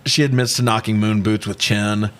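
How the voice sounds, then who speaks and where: A man with a fairly low voice chats as a podcast co-host. He runs through a film recap casually, with irreverent jokes and plenty of swearing. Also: steady tone, moderate pace, fairly bright tone. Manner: irreverent, joking, profane. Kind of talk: casual movie recap banter.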